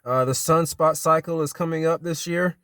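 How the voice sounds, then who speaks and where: A man's voice: fairly high pitch, steady tone, moderate pace, a bit bright, no echo, studio quality. A middle-aged American man talking casually as a CB radio hobbyist, often repeating his phrases. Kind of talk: casual vlog talk and radio calling.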